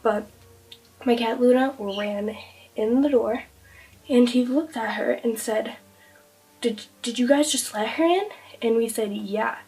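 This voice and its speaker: A young woman with a fairly high voice talks casually to camera, recounting an eerie experience full of fillers. She is sick and her voice is hoarse and fading. She sits a bit back from the microphone. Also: a little slow, long pauses, darkish tone, distant mic, soft background music, rough recording. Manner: chatty, breathless retelling with many fillers. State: hoarse, losing her voice from illness. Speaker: vlogger.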